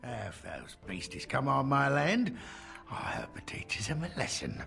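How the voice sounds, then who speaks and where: An actor playing a folksy farmer and merchant in a fantasy game, with a gravelly voice and colloquial, hearty manner. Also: male voice, medium pitch, huge pitch swings, a little slow, sharp tone, soft background music, full character voice. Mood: hearty, pleased. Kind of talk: fantasy video game character dialogue.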